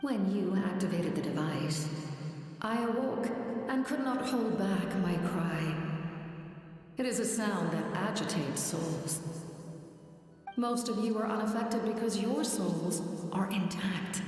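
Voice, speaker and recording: An actress playing an ancient, solemn female spirit who rules a realm of souls in a video game, speaking in a formal, measured way. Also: mid-range voice, a little mellow, faint music behind, close to an everyday voice. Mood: solemn, calm. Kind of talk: scripted video game voice acting. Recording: very echoey, reverberant hall.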